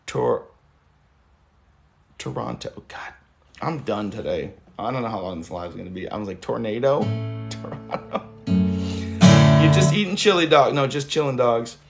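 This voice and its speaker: A hoarse man in his thirties hosting a casual livestream, talking loosely and swearing now and then. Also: medium pitch. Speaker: livestream host. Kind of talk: rambling casual livestream chat. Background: guitar music.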